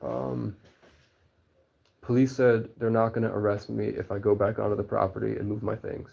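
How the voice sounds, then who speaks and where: Low, flat-voiced man rambling. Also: moderate pace, creaky, highs cut off. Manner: meandering, full of "like" fillers and restarts. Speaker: interviewee. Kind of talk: rambling candid chat.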